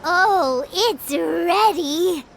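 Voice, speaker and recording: A woman's voice: extremely slow, creaky, slight background noise, full character voice. A woman voicing a tiny, chirpy, food-loving fairy companion in a perky childlike game-character voice. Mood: excited. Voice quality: squeaky, childlike. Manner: perky, talks about herself in third person. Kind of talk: animated game dialogue acting. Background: music.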